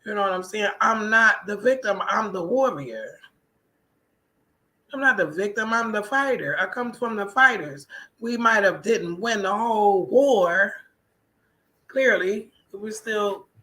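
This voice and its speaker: Casting: American female vlogger, bright and punchy voice, emphatic colloquial delivery, conversational solo talk. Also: mid-range voice, rough recording. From United States. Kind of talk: casual personal vlog monologue.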